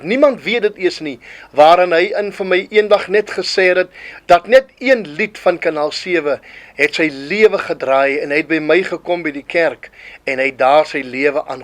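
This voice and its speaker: A man with a somewhat high voice speaks with fervent, preacherly conviction. He quotes scripture and gives blessings like a church leader. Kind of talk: testimonial with scripture.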